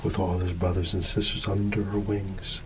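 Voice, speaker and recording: A man reading a bedtime story in ASMR style, hushed and drawn out, sometimes dropping into a whisper. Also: sits low. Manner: hushed, unhurried, drawn-out words with playful asides. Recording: steady background ambience noise. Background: ambient background sound.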